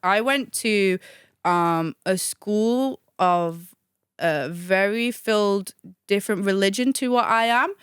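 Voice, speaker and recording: A woman's voice: medium pitch, takes it slowly, clear, resonant tone, no room sound, clean studio sound. A young woman, talking casually and animatedly as a guest in an interview, with many fillers. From Manchester, England. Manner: animated, chatty, hesitant with fillers.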